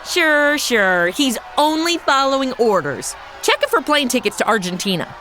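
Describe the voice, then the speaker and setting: A woman's voice: sits high, sing-song, moderate pace, bright, forceful tone, faint music behind, heavily acted. A woman playing a snarky modern wisecracker in a comedy audio drama, speaking in casual, sarcastic asides.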